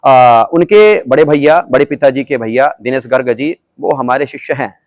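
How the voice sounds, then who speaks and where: A man's voice: mid-range voice, moderate pace, darkish tone, no echo. A man, a religious teacher, answering interview questions in a declamatory, preaching manner and repeating phrases for emphasis. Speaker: religious guru being interviewed.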